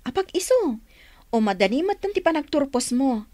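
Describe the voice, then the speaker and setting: A woman's voice: high-pitched, normal speed, no room sound. A woman playing a chirpy young best friend in a radio drama, playful and teasing. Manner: playful, animated delivery.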